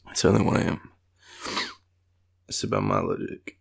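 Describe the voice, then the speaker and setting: A man's voice: very deep voice, creaky. A tired man with an Australian accent, murmuring and rambling drowsily as a clingy, teasing boyfriend in an intimate roleplay, often half-whispering. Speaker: audio roleplay performer playing a boyfriend.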